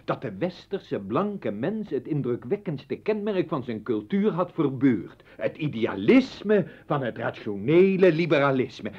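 An actor playing an indignant, scornful professor in a radio play, mocking and oratorical. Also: male voice, on the high side, a little mellow, slightly rough, full character voice. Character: scornful, indignant professor, a husband defending his household. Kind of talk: Dutch radio play acting. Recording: dull old radio recording.

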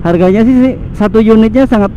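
A man's voice: high-pitched, fast talker. A man, chatting casually and repeating himself for emphasis as he vlogs from a motorbike. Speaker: motovlogger host. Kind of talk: casual chat while riding. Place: outdoors, riding a motorbike on city roads. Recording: muffled helmet mic, engine and traffic noise.

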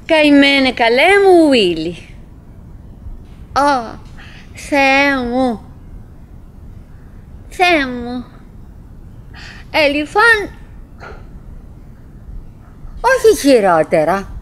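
An actress playing a cheerful, fretful middle-aged wife who muses to herself in fragments and sighs in a live stage monologue. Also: female voice, high-pitched. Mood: pitying, resigned. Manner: fragmented musing with sighing exclamations. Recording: noisy 1979 live theatre recording.

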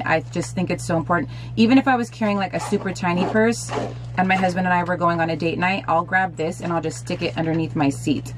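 A woman in her thirties, slightly hoarse, chatting upbeat to viewers as she shows things to the camera in a small bedroom. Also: lowish voice, background noise. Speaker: lifestyle vlogger. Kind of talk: casual product show-and-tell.

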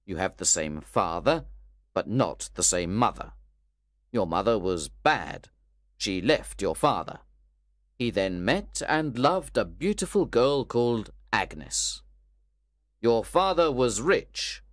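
A man voicing a stern, measured old gentleman. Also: on the low side, very animated, no room sound, clean studio sound. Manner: firm, measured. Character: stern, calm older gentleman accuser.

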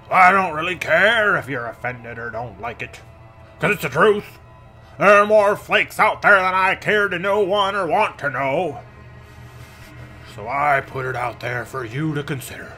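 Man acting out an intense, forceful angry ranter. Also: a little high, exaggerated voice. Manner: intense, halting, dramatic emphasis on words. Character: angry, fed-up amateur radio show owner ranting at flaky losers. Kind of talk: dramatic reading of an online ad. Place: dark room, seen close to camera. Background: ominous background music.

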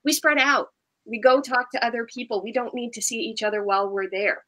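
Jersey woman, bright crisp voice, upbeat and encouraging. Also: fairly high pitch, steady tone, fairly quick. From Maplewood, New Jersey. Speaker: guest. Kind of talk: casual live video chat.

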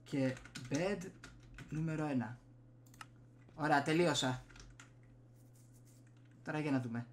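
A man's voice: on the high side, pauses often, slight background noise. A young man from Greece giving casual gameplay commentary as a video host, muttering to himself as he plays. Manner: muttering to himself while playing. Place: small room at home. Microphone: desktop USB microphone.

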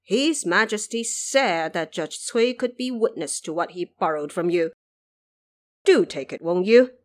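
A woman's voice: lowish voice, animated, measured pace. A woman voicing a dignified male imperial envoy who speaks politely and earnestly in an audio drama. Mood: coaxing.

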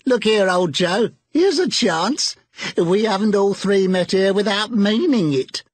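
Man putting on a shrill, brazen charwoman. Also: lively intonation, unhurried, no echo. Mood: scornful. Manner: shrill, scornful, loud. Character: bold defiant charwoman, brash older woman.

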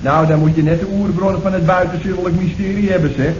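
A man plays a cheeky young hippie in an old radio comedy. His voice sits fairly high, with slangy, laid-back phrasing. The recording is muffled and echoey. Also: steady tone, fast talker, background noise, lightly acted. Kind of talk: 1970s Dutch radio comedy acting.

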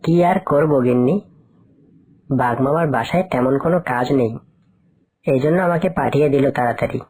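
A man voicing a poor, resigned working father in an animal cartoon, with a husky voice and weary manner. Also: medium pitch, a little fast, a little mellow, natural voice. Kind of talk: cartoon character acting.

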